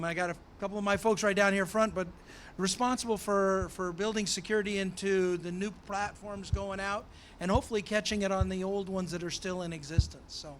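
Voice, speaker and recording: A man with a high voice introduces himself on a conference stage, joking and poking fun at himself. Faint hall noise sits behind him as he talks. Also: fairly bright tone. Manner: joking, self-deprecating. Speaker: conference panelist. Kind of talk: panel self-introduction.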